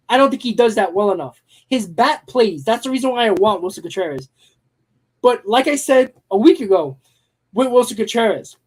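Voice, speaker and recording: A man hosting a live-streamed sports talk show, hoarse from losing his voice, giving blunt, emphatic opinions. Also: very high voice.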